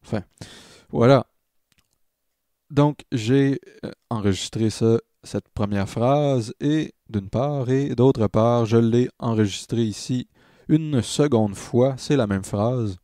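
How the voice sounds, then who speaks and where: A man with a Quebec French accent giving an informal software tutorial as a music production tutor, pausing hesitantly as he explains. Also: mid-range voice, dry recording, studio quality.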